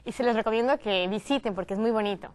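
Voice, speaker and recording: A woman's voice: fairly high pitch, fast talker, slight background noise. A young woman from Ecuador on a studio panel, friendly and reflective. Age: young adult. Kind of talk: casual discussion.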